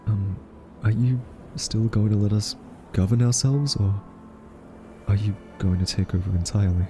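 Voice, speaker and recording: A man playing a timid, quirky scientist in an audio roleplay, soft-spoken, stammering and awkwardly polite. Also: on the low side, normal speed, soft background music, lightly acted. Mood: relieved, cautious. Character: timid, quirky male scientist held captive by a rogue AI. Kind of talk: audio roleplay acting addressed to listener.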